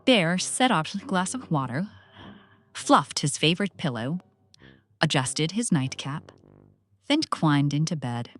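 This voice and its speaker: A woman storyteller giving an expressive read-aloud narration for children. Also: sits low, unhurried, pauses often. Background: soft music.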